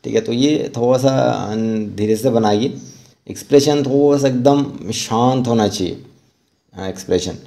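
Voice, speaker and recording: A man narrating a step-by-step art tutorial as an instructor, informally and haltingly, mixing in English terms. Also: mid-range voice, creaky, mellow.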